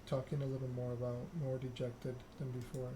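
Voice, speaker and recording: Man reading in a flat ASMR style, noisy recording. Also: medium pitch, a little fast. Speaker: gaming channel host. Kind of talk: ASMR read-aloud of a narrative game.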